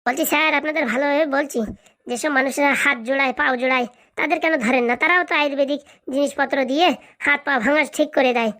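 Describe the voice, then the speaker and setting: A woman's voice: high voice, fairly even intonation, fast, sharp tone. A woman voicing a brash, argumentative village oil seller in a comic cartoon, with a rural Bengali dialect and sarcastic back-talk. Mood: defiant.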